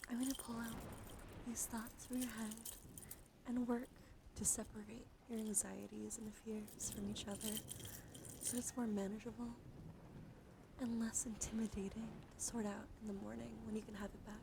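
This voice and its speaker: A woman streaming ASMR roleplay as a soothing bedtime monologue, speaking gently and reassuringly in slow, short phrases that often drop into whispers. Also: on the high side, flat delivery, creaky, background noise. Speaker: live streamer, ASMR host.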